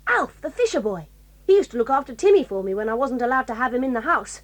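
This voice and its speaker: A woman's voice: a little high, lively intonation, fast talker, lightly acted. An actress playing a bold, stubborn tomboy girl who speaks confidently and decisively.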